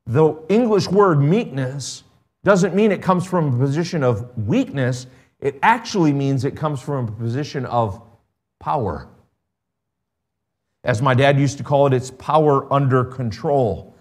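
A man preaching a sermon as the pastor of his church, earnest and emphatic, dwelling slowly on stressed phrases. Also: fairly high pitch.

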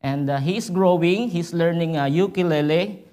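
A man from the Philippines with a Filipino accent, giving a halting church report as a visiting missionary, full of fillers and restarts. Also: on the high side, dark tone. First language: Filipino language. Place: church sanctuary.

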